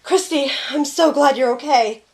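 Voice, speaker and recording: A woman's voice: high voice, moderate pace, a little mellow. A woman acting a concerned, caring young friend in an amateur audio drama. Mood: relieved.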